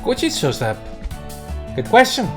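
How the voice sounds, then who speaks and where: A man reading a visual novel aloud as a let's play host, mixing narration with casual, excitable commentary. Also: high-pitched, unhurried. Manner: excitable outbursts between narrated lines. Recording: game music behind the voice. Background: game background music.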